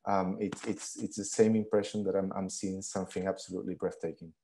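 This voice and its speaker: A man's voice: low voice, level tone, a little fast, mellow, a little hiss or hum. A man from Peru in his thirties giving an online lecture over a headset on a video call, hesitant with many fillers and restarts. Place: living room at home.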